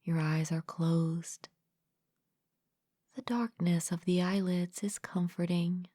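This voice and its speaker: A woman leading a guided meditation as a mindfulness coach, speaking gently and calmly in a soft, breathy, partly whispered voice, close to the mic. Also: fairly low pitch, no room sound. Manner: gentle, calm, unhurried with long pauses. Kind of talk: guided meditation, soothing scripted narration.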